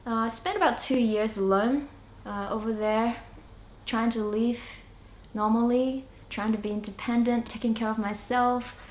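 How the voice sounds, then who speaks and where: A young adult woman who grew up in China and Singapore, giving a personal testimony in a hesitant, reflective way with frequent pauses. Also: a little high, steady tone, a little mellow. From China, moved to Singapore at nine. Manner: hesitant, reflective, pausing often mid-sentence. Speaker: church member giving a testimony. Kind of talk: personal testimony, unscripted monologue. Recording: echoey room, faint background noise.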